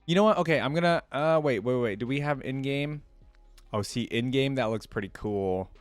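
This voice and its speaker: A man's voice: medium pitch, moderate pace, clean tone, no room sound, faint music behind. A man giving casual reaction commentary as a video host, rambling and thinking aloud.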